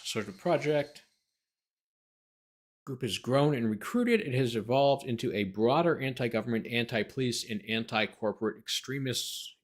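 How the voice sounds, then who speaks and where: Sardonic male commentator with lively, swinging pitch. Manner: sardonic asides, breaks off reading to quip. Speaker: host, lawyer commentator. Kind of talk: reading a legal document aloud with off-the-cuff commentary.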